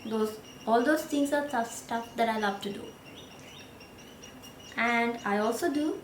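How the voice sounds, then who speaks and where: A woman's voice: fairly high pitch, a little hiss or hum. Sri Lankan woman vlogging in echoey room. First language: Sinhala. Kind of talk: casual talk to camera.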